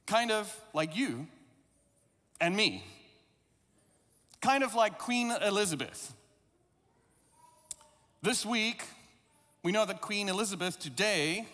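A man's voice: high-pitched, pushed and bright, in a large hall. A man from South Africa, English not his first language, preaching a sermon in a reflective, conversational way with a few self-deprecating asides. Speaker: pastor.

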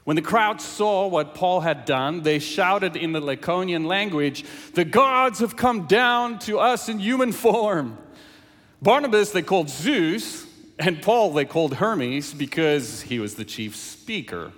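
A South African pastor, native Afrikaans speaker, preaching with a fairly high voice. He engages his listeners with lively questions, and his voice rings with the long reverb of a large hall. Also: unhurried. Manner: animated, engaging the audience with questions. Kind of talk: sermon with scripture reading.

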